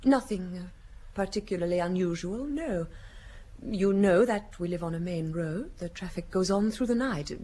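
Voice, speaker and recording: An actress playing an enigmatic, demanding foreign lady under suspicion in an audio drama, speaking formally with hesitant, deliberate pauses. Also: fairly low pitch, dark tone, natural voice.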